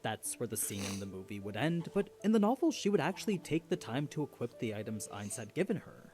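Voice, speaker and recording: A man delivers scripted explainer narration. His pitch is lively and varied, moving up and down through each sentence as he lays out the details. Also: quick pace, over music.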